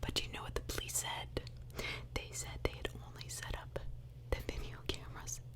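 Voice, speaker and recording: A woman telling true crime as ASMR in a breathy, unvoiced whisper, conversational and opinionated, with rhetorical questions. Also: normal speed.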